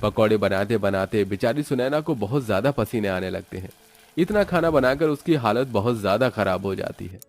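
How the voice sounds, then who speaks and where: A man's voice: fairly low pitch, lively intonation, moderate pace. A man narrating a scripted moral story as its storyteller.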